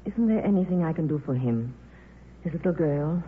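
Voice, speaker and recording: Worldly female film star, plain-spoken and loyal, very lively intonation, thin vintage radio sound. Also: low voice, moderate pace. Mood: frank, concerned. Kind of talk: 1950s radio drama acting. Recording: tinny, noisy 1950s radio broadcast.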